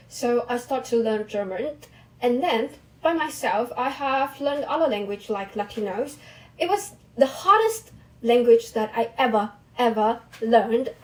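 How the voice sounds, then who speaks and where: A young woman whose first language is Mandarin Chinese, talking casually about her own experience in halting English with restarts. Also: a little high, a little mellow, low-quality recording. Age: young adult. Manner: halting non-native English with restarts and small laughs. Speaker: vlogger. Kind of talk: casual personal monologue.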